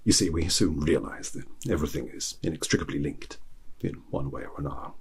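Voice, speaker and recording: Casting: male actor as indecisive living tarot figure, ASMR roleplay, extremely low and heavily husky, wry, rambling, self-deprecating. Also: fairly quick, darkish tone, heavily acted. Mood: wryly musing. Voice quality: low, husky, breathy half-whisper. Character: the Hanged Man tarot card, musing, indecisive, wry.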